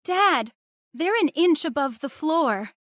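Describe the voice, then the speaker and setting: A woman's voice: high-pitched, expressive, takes it slowly, fairly bright tone, dry recording, studio quality, heavily acted. An actress playing a young engaged woman who argues earnestly with her stubborn father, in old-time radio drama style. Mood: uneasy. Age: young adult. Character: young woman, engaged, frightened but spirited daughter.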